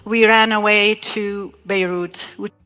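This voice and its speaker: A woman from Iraq telling her family's story in a talk, measured and reflective, pausing often. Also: mid-range voice, steady tone, bright, forceful tone, smooth. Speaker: speaker giving a talk. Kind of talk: slow personal storytelling talk.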